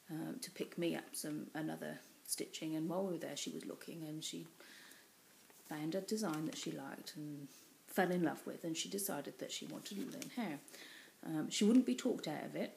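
A woman's voice: low-pitched, vocal fry, a little mellow, slight background noise, poor audio. An English woman in her thirties chatting casually on a home craft vlog, rambling with lots of ums. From England. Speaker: cross-stitch vlogger. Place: small room indoors at home. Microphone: built-in webcam mic at close range.

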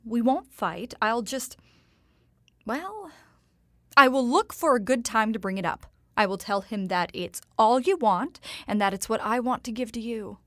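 Genteel doting mother, unhurried, dry close sound, tender, audio drama. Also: a little high, animated, dry recording. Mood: tender, resolute. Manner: proper diction, gentle, slightly theatrical.

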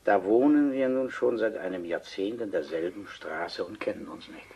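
An actor playing a thoughtful, earnest older gentleman, speaking deliberately in a German radio play. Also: on the low side, slightly rough. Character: thoughtful older wine connoisseur, earnest chess partner.

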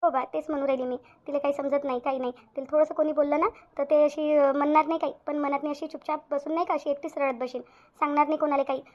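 An anxious, doting village mother played by a woman in a cartoon family story. She talks fast and high with a Vidarbha Marathi accent, pleading and saying things over again. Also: flat delivery, dull audio, natural voice. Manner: pleading, repetitive. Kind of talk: amateur cartoon story dialogue.

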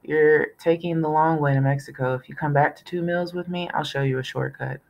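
A woman voicing a calm, quick-witted teenage boy who speaks plainly and gently. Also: deep voice, vocal fry. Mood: easygoing.

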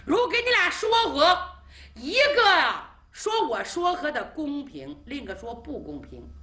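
A woman voicing a cheeky, bossy little boy who appoints himself peacemaker, talking fast and boastfully. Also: high-pitched, very animated, sharp tone, pitched above the performer's own voice, exaggerated voice. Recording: roomy echo.

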